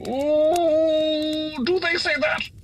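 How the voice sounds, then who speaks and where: A man's voice: very high-pitched, level tone, very slow, a little hiss or hum, full character voice. A man playing a bumbling, greedy working-class husband in a radio play, overwrought and comically pompous. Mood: indignant. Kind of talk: holiday radio play acting.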